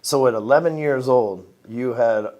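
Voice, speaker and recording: A man's voice: medium pitch, normal speed, mellow, dull audio. A man hosting an interview in a studio, talking casually, affirming his guest and asking leading questions. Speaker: interview host. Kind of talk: conversational interviewing.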